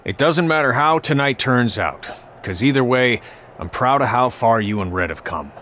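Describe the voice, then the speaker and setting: An actor as a self-serving male wrestling coach in a video game drama. He swings his pitch in a lively way, proud and glib, with some steady noise behind him. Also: moderate pace, natural voice. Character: boastful male veteran wrestling trainer, proud but evasive. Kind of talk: video game cutscene acting.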